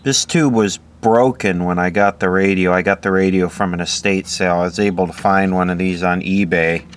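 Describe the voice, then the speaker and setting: A man narrating a casual, unscripted hands-on repair demonstration in a matter-of-fact, halting way, as host of his own video. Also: low voice, fairly even intonation. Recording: clearly audible background noise.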